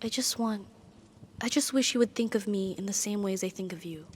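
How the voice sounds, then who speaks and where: A woman voicing a proud, possessive, lovesick female demon in an ASMR roleplay, speaking in formal, deliberate, dramatic phrases. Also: medium pitch, slight background noise, lightly acted. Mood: lovesick, confessing. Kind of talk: ASMR romantic audio roleplay.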